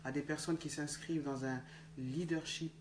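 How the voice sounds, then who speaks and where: A woman's voice: sits low, steady tone, moderate pace. A woman hosting an interview, asking long questions with many fillers. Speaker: interview host. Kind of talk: conversational interview. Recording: echoey room with faint background noise.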